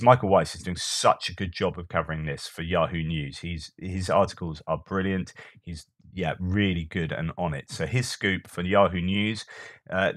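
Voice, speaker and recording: A man's voice: deep voice, a bit bright, no room sound, studio quality. A man commenting on war news in a casual, thinking-aloud way, with frequent fillers and restarts.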